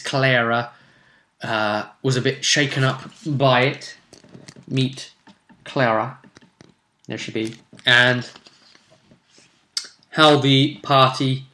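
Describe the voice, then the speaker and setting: A man with a bright, crisp-edged voice of middling pitch tells the story of a tabletop role-playing session. He speaks off the cuff as the game master, in a conversational, rambling way full of asides. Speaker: game master and presenter of a role-play recap series.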